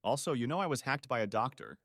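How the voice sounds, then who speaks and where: A woman playing a cheeky, gossipy AI assistant in a comedy sketch, matter-of-fact and deadpan. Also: rumbling low, fast talker, exaggerated voice.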